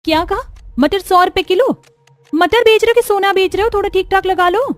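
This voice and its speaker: A woman voicing a sharp-tongued, thrifty young village wife in a cartoon story, indignant and scolding. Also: very high voice, a little fast, dry recording, voice pushed up. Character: feisty, thrifty poor village daughters-in-law, sharp-tongued women. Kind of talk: Hindi cartoon story acting. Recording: music behind the voice.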